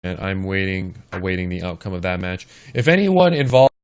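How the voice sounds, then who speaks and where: Chatty low-voiced male esports caster. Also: very animated, brisk, smooth. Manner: chatty, talks straight to the chat. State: slightly hoarse. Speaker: esports caster and stream host. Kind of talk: casual live esports commentary.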